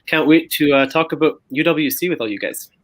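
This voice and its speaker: A young man from Canada speaks on a video-call panel in a slightly high voice. He is hesitant and fills his pauses with ums. The sound is dry. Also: dry recording. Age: young adult. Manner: hesitant, many fillers. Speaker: panelist, university student.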